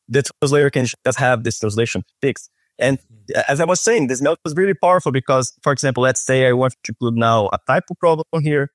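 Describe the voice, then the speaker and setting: A man in his thirties with a Brazilian Portuguese accent demonstrates software on a video call from an office. His pitch sits in the usual male middle range, and the sound is dry and close. He often repeats words and restarts his sentences. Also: no room sound. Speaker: product specialist guest. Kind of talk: software demo. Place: office with shelves. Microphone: webcam on video call.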